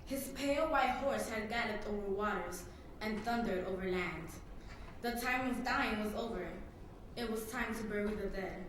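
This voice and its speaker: A woman's voice: medium pitch, normal speed. A teenage girl narrating a literary work in a dramatic student reading. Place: public reading in a hall. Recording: very echoey hall, distant mic, faint noise.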